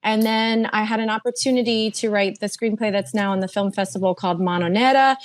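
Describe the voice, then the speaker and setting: A woman's voice: mid-range voice, steady tone, a little fast. Chatty woman panelist from South Florida. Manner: chatty, laughs often, repeats words. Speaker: panelist screenwriter. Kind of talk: casual panel talk.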